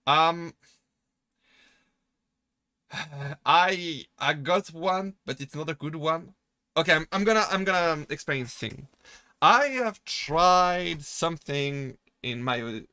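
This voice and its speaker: A man with a French accent, chatting casually on a live stream and rambling through halting restarts and self-deprecating asides. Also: a little high, sharp tone, clear, resonant tone, no echo, clean studio sound. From France. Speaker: VTuber streamer.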